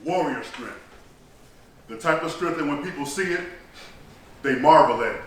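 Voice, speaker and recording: A man performing a theatrical monologue like a rousing speech, solemn and emphatic, with deliberate dramatic pauses. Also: on the high side, expressive. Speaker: stage performer delivering a monologue. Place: echoey performance space, recorded from a distance. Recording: roomy echoing hall, distant mic, faint noise.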